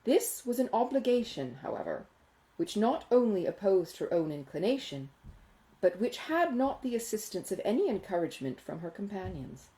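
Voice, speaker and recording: Woman reading a classic novel audiobook, warm mellow tone, really lively pitch. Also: moderate pace, rough recording. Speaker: audiobook reader. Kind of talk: expressive literary narration of a classic novel.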